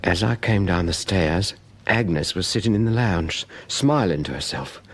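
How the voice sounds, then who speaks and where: An actor playing a middle-aged put-upon husband in a British radio drama, earnest and exasperated, with a British accent. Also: male voice, deep voice, animated, unhurried. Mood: recounting. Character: put-upon beekeeping husband, recounting events with grievance. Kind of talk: radio drama acting and first-person narration.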